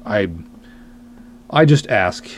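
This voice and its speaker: A man's voice: lowish voice, lively intonation, extremely slow, slight background noise. A man hosting a podcast monologue, wry, self-deprecating and emphatic as he chats and reads aloud. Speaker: podcast host, writer and director. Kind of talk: podcast monologue with dramatic review readings.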